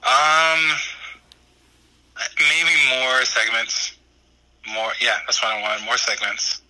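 A man co-hosting a laid-back podcast, his voice very bright and forceful. He teases his friends and talks in loose slang. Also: medium pitch, flat delivery, slow, rough recording. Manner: teasing, slangy. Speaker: podcast co-host. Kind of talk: casual banter.

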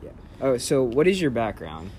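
A man's voice: mid-range voice, very animated, moderate pace, darkish tone, slight background noise. A teenage boy hosting a student podcast, talking through a history topic in a loose, rambling way, full of fillers and self-corrections. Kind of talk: casual spoken presentation and interview.

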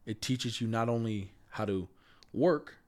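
A man's voice: mid-range voice, a little slow. A man who stutters, a podcast guest talking earnestly and with emphasis in a casual conversation.

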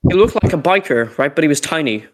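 A man's voice: on the high side, lively intonation, fast, a little hiss or hum. A young man with a cold, telling a story in a casual podcast chat, rambling with many fillers and restarts. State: sick, sweating. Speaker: podcast co-host.

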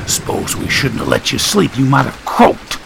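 A man's voice: mid-range voice, very animated, normal speed, vocal fry, background noise. A man voicing a folksy, preachy wisecracking man who talks in country grammar and sermonizing quips. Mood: teasing.

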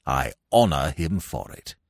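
A man voicing a pompous, sentimental old English gentleman in an audiobook, speaking in a solemn, grandiloquent manner. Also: extremely low pitch, sing-song, unhurried, gravelly, dry recording, studio quality, pitched below the performer's own voice, heavily acted. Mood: solemn, regretful. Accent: British.

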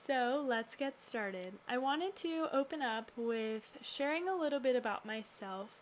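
A woman from the United States with a fairly high voice hosts a faith podcast. She speaks in a personal monologue, earnest and reflective in her delivery. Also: expressive, a little slow, slight background noise. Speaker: podcast host.

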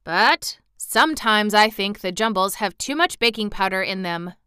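A woman's voice: medium pitch, lively intonation, normal speed, pushed and bright, smooth, no echo, clean studio sound. A woman voicing Mister Bun, a proud, gossipy male pastry who turns stern, in a storybook reading.

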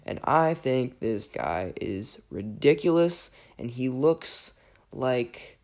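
A podcaster with a raspy voice, under the weather, rambling through a self-deprecating, jokingly exasperated film rant. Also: medium pitch, lively intonation, slow, darkish tone. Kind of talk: casual rambling film commentary.

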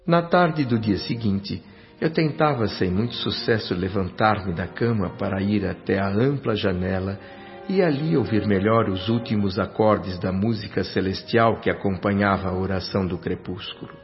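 A man giving slow, reflective first-person narration for a radio drama. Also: lowish voice. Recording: dull radio drama audio with faint music.

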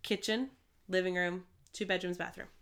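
A woman co-hosting a podcast, her voice stuffy and a bit rough from a cold. Under the congestion her tone stays smooth and clear. She rambles through casual chat with plenty of "like" and "um". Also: fairly low pitch, steady tone, normal speed, fairly bright tone. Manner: rambling, many fillers like "like" and "um". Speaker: podcast co-host.

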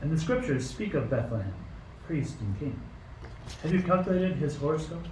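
A man's voice: fairly high pitch, expressive, normal speed, lightly acted. Man playing imperious King Herod, roomy recording. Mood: measured, scheming. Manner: imperious, declamatory. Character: King Herod, stern, suspicious, bitter tyrant ruler. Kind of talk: amateur radio play acting. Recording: roomy, distant mic, faint noise.

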